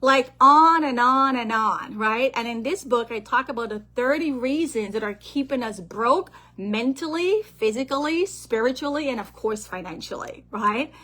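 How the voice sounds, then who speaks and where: A woman with a somewhat high voice gives a motivational talk on family finance. She is a financial planner and author who speaks over a call. She talks in a lively way and keeps checking in with her listeners. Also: normal speed, hardly any pauses. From Guyana.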